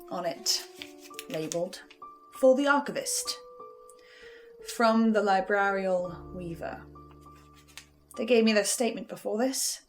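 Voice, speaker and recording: A woman playing an anxious, wry researcher of the supernatural in a horror drama, speaking in a halting, teasing way. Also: medium pitch, natural voice.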